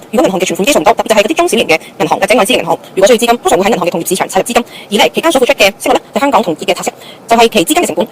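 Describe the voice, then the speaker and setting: A woman's voice: medium pitch, close to an everyday voice, poor audio. A woman playing an aggrieved young job seeker with a short fuse in an amateur radio drama, with a Hong Kong Cantonese accent.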